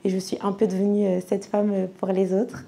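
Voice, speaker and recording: A woman's voice: mid-range voice, monotone, a little fast, creaky, dark tone. A woman in her thirties, from France, giving a personal church testimony in a conversational way with frequent hesitations. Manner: conversational, many fillers and restarts.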